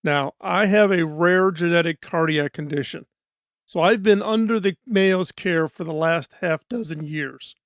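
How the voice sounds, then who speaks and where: A middle-aged man hosting a podcast in a studio. He talks in an earnest, measured, scripted way, and his voice is hoarse. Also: fairly high pitch, no echo. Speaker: podcast host.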